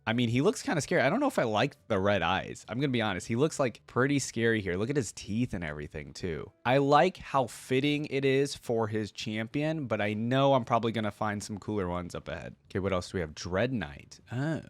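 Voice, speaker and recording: A man's voice: fairly low pitch, very animated, fairly quick, few pauses, no room sound. Casual man reacting, close and dry. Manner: informal, musing, thinking aloud. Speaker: gaming reaction video host.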